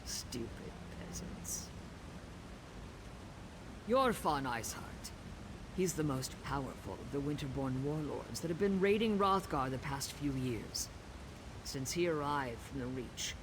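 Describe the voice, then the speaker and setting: A man's voice: fairly high pitch, lively intonation, unhurried, background noise. A man playing a grim, battle-weary orc warrior in a fantasy video game, grave and blunt. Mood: grim, scornful. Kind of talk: video game dialogue acting.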